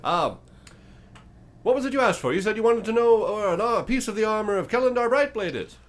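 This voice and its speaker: A man's voice: high-pitched, animated, brisk, pitched above the performer's own voice. A man voicing a chatty, offhand undead oracle in a tabletop game, fussy and breezy, with hesitating interjections. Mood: breezy, businesslike. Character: chatty, offhand undead oracle of bone and rock, high-voiced.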